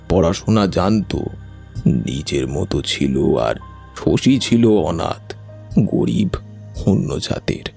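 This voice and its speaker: A man playing a reticent older village uncle who gravely and haltingly recalls old secrets in an audio story. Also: deep voice, mellow, heavily acted. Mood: hushed, grave. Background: music.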